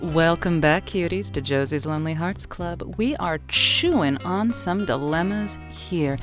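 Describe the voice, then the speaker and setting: A rambling radio advice host. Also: female voice, lowish voice, animated, unhurried, music in the background. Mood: playful. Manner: teasing, rambling, hesitant. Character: woman radio advice host, playful, unsure of herself. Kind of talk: scripted audio drama.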